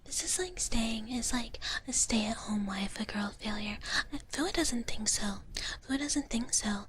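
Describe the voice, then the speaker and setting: A woman whispering softly and breathily in a cutesy ASMR roleplay as a cozy dragon big sister, chatting with viewers close to the mic. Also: a little high, lively intonation, unhurried, slight background noise. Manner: cutesy, speaks of herself in the third person. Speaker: ASMR livestream host.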